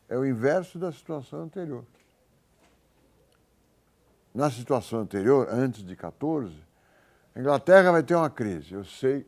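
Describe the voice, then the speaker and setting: A man with a Brazilian accent giving a university economics lecture, informal and halting, thinking aloud and correcting himself as he works through examples. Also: mid-range voice, creaky, a little mellow. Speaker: economics professor.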